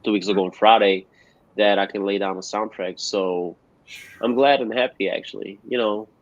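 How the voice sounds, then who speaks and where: A man's voice: on the low side, fairly even intonation, no room sound. Man on a dull remote line. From Germany. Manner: halting, lots of fillers. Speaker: guest musician. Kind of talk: remote interview answers. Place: at home in Germany, joining remotely. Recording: dull, dry remote call audio.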